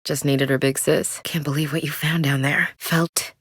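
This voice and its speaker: A woman's voice: sits low, fairly even intonation, moderate pace, breathy edge, no room sound, studio quality. A woman playing a tough, candid young space marine with an American accent in a video game, speaking in a blunt, plain-spoken military way. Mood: reflective, dry. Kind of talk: video game character dialogue.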